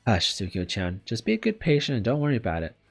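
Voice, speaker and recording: A man voicing a caring, casual schoolgirl in a visual novel, breezy and gently bossy, with music behind. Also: fairly low pitch, huge pitch swings, fast talker, creaky, full character voice. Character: caring, casual schoolgirl looking after her sick girlfriend. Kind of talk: visual novel read aloud. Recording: background music under the voice.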